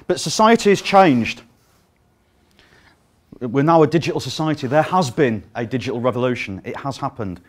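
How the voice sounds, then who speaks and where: A middle-aged British man giving a conference talk, projecting his voice without a microphone and speaking with plenty of ums and uhs. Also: a little high. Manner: projecting to fill a room without a sound system; lively, frequent ums and uhs. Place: conference room.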